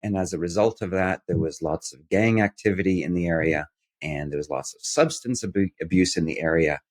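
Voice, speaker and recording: A man from Canada telling his life story as a podcast guest, in a measured, descriptive way with a wry edge. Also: deep voice. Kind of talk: storytelling in an interview.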